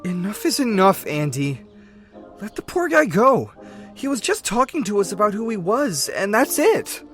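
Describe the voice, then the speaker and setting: A man's voice: high voice, big pitch swings, normal speed, fairly bright tone, soft background music. A man voicing an easygoing young male rat drifter who talks in a laid-back, friendly, chatty way in a fan comic dub. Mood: persuasive.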